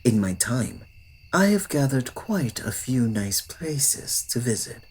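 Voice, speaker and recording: A man playing a courtly, smitten old-world vampire in an intimate ASMR roleplay, with formal phrasing that often slips into breathy whispers. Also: medium pitch, very animated, slow pace, a little mellow, a little hiss or hum. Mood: courteous, hopeful. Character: courtly, old-fashioned adult male vampire, yearning, polite, smitten. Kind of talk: ASMR roleplay audio drama addressed to the listener.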